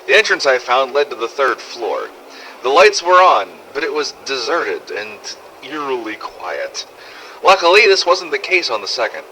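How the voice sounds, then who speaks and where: A sardonic male survivor voiced by a man recounts missions in a first-person game audio log. His mid-pitched voice is bright and forceful, with lively, wide swings in intonation. Faint noise sits behind the scripted narration. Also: normal speed, low-quality recording. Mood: matter-of-fact. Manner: sardonic, exasperated, swearing. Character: weary, sarcastic male survivor of a zombie outbreak. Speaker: narrator of fan-made game audio logs.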